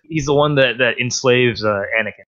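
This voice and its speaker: A man talking quickly and casually as a podcast panelist. His voice comes through a dull, lo-fi microphone, which gives it a muffled edge. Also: medium pitch, fairly bright tone. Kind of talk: casual gaming podcast chat. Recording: slightly dull, lo-fi mic.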